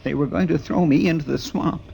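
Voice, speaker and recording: An actor playing a feeble, wronged little old husband in a 1940s radio drama, speaking haltingly. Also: male voice, fairly high pitch, creaky, warm tone, slightly rough, exaggerated voice. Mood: shaken, aggrieved. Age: older. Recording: slightly noisy vintage radio recording.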